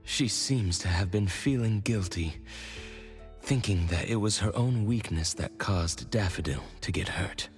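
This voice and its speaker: A male actor playing a calm, thoughtful companion in a video game. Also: fairly low pitch, a little slow, soft background music, natural voice. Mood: reflective.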